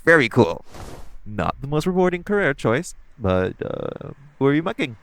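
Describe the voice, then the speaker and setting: A man's voice: mid-range voice, very animated, moderate pace, a bit bright. A man playing a flirty, cocky supervillain thief in an audio roleplay, teasing the listener with mock politeness. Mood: amused, mocking. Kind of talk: theatrical audio roleplay, one-sided dialogue to the listener.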